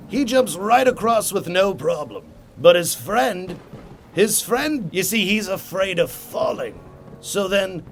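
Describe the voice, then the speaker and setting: A man playing an insane, taunting villain clown in a comic-book drama, theatrical and rambling, lurching between hushed musing and shrill mania. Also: creaky, full character voice. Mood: wry storytelling. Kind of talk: comic-book motion comic acting.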